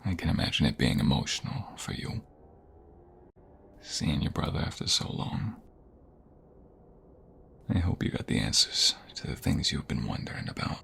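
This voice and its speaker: A man playing a protective, tender husband in an ASMR roleplay, speaking softly and intimately, often near a whisper. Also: rumbling low, big pitch swings, moderate pace, gravelly, long pauses, slight background noise, exaggerated voice. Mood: gentle, sincere. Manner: soft, intimate, reassuring, often dropping to a whisper.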